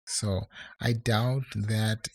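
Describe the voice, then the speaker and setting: A man with a husky, gravelly voice talks casually to his viewers, pitched on the low side. He rambles and restarts often, leaning on fillers, with an English accent that is not American. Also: steady tone, very slow, darkish tone. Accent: non-American English. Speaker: online English tutor. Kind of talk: casual talk to camera.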